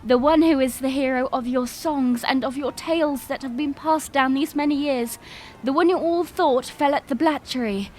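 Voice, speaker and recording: High-voiced young princess, formal and declamatory acted by a woman. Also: normal speed, slight background noise, natural voice. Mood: resolute, proud. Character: young princess rallying her people, formal and earnest. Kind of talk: audio drama acting, oratorical speech.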